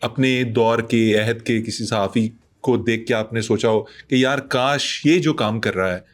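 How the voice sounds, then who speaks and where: A man's voice: mid-range voice, unhurried. A man with a Pakistani Urdu accent hosting a comedy talk show, with a sore throat and an exasperated, protesting manner.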